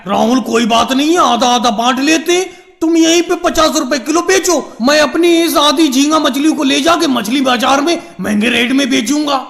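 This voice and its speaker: A man puts on an extremely high, creaky cartoon voice for a chatty politician caricature. He bickers and chats like a villager in an animated comedy skit. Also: normal speed, heavily acted. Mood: haggling, exasperated.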